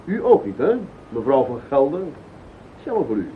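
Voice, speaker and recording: An actor playing a cheeky, snooping sleuth, mock-polite and self-satisfied, in a Dutch radio play. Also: male voice, big pitch swings, moderate pace, natural voice. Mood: smug, needling. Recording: tinny old radio recording, faint rumble.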